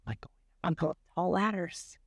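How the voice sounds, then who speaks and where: A woman's voice: on the low side, steady tone, slow pace, no room sound, studio quality. A woman voicing a wistful, anxious but determined egg, telling its picture book story earnestly. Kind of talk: first-person picture book narration.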